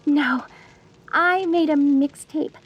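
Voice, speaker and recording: A woman playing a sweetly deranged, lovestruck mortician bride in a dark comedy audio roleplay, cooing genteel endearments at the listener with theatrical menace. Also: sits high, takes it slowly, muffled recording, soft background music. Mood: doting, smug.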